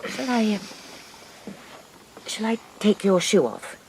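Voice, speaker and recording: A woman's voice: medium pitch, sing-song, takes it slowly, noisy background. An actress playing a prim, formal spinster missionary woman in a radio drama. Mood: tender.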